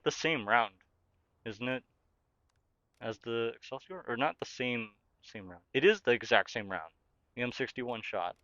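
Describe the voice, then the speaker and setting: Bright-voiced young man streaming games. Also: mid-range voice, no echo, muffled recording. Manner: chatty, reads chat aloud and answers while narrating play. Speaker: livestreamer. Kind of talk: casual live-stream chat while gaming.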